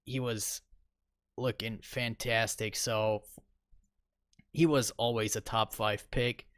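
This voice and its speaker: A man's voice: fairly low pitch, little pitch movement, slow pace, a bit bright, no room sound. Young man, podcast co-host, rambling fillers, dry close sound. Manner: rambling analysis, many fillers. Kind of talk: casual sports podcast chat.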